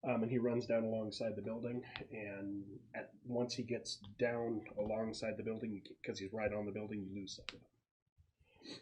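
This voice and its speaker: A man working as game master, improvising narration in a halting, casual and sometimes profane way. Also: fairly low pitch, flat delivery, a little mellow, rough recording.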